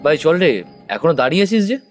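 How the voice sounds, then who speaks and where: A man's voice: on the high side, very animated, fast. An actor playing an impatient, irritable young city man in a horror audio drama. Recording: loud music over the voice.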